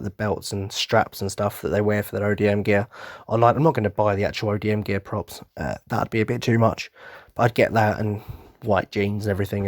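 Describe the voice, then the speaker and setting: A young man chats on his podcast, his voice hoarse and worn as if he is losing it. He talks low-pitched and rambling, bubbling with enthusiasm and stumbling through restarts. The sound is close and dry. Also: no room sound. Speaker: podcast host. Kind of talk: casual solo podcast chat.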